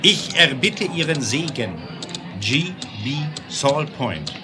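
A man's voice: medium pitch, animated, slow pace, music in the background. An actor playing a confident, amused Wild West townsman with a declaiming delivery in a German radio play. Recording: slightly noisy 1970s record.

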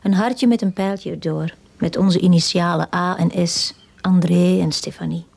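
A woman's voice: on the low side, steady tone, normal speed, gravelly, darkish tone, slightly rough. A woman acting an anxious, insistent wife who seeks help in a radio play. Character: anxious, insistent wife convinced her husband is alive. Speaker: actor in a radio drama.

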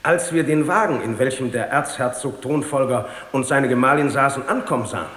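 A man's voice: mid-range voice, brisk, fairly bright tone, close to an everyday voice. Man acting a proud eyewitness in echoing hall. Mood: eager, proud. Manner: formal, emphatic testimony. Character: proud, dutiful, eager male eyewitness. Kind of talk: radio drama acting. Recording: echoey hall with long reverb.

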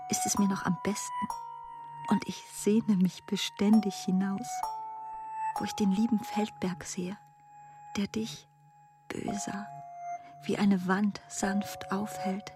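Slow, hushed woman reading. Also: mid-range voice. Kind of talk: literary reading of intimate letters.

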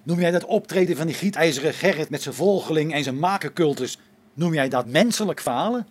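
An amateur actor in a radio play as an excitable, sarcastic space crewman, a man with a biting, exclamatory manner. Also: on the high side, fairly quick. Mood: exasperated, sarcastic.

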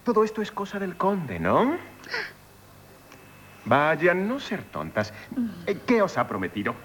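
A haughty gentleman of means who distrusts women, in a Spanish radio play. His Castilian delivery is imperious and theatrical, swinging widely in pitch, and he stammers when flustered. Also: wildly swooping pitch, measured pace, slight background noise, exaggerated voice. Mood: sly, commanding. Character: rich, proud misogynist gentleman, flustered by love.

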